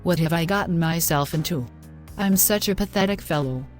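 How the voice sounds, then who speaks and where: A woman's voice: lowish voice, normal speed, lightly acted. Distraught young woman, self-loathing and dramatic, played by an actress for a moral-story audio drama, music underneath. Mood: shocked, despairing.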